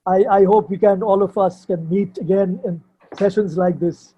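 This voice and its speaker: A man's voice: high-pitched, steady tone, moderate pace, warm tone, highs cut off, a little hiss or hum. An older man, explaining things informally and hesitantly, often repeating himself as he searches for words. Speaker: poet and sculptor, guest at a book launch. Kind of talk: informal explanation at an online book launch.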